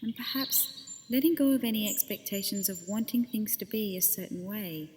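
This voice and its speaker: A woman leading a guided body scan meditation as a teacher, speaking gently and unhurriedly with pauses between phrases. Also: mid-range voice, in a large hall, slight background noise.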